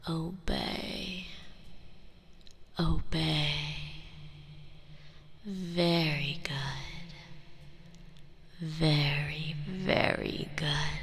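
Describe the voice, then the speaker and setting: Slow breathy whispering woman, hypnotic. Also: deep voice. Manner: slow, soft, commanding, repetitive.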